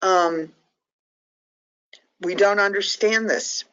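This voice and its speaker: A woman whose first language is Portuguese leads a training session for advocates, speaking at an ordinary pitch for a woman. She talks earnestly and conversationally, often pausing to check in with her listeners. The recording sounds muffled and lo-fi. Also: fairly bright tone, smooth. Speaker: trainer for sexual assault advocates. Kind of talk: training lecture. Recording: dull lo-fi recording.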